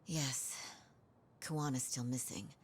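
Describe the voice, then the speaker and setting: An actress playing a calm, thoughtful professional woman in a video game, measured and reserved. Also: rumbling low, a little hiss or hum. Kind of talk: English video game dub, cutscene acting.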